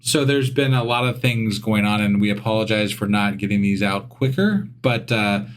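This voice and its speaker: A man co-hosting a casual podcast, talking in a rambling, halting way with many restarts. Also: lowish voice. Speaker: podcast co-host.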